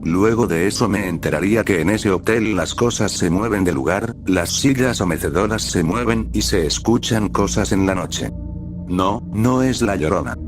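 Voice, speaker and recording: A man's voice: sits low, normal speed, music in the background. A man, reading first-person scary stories as a scripted narration for a horror channel.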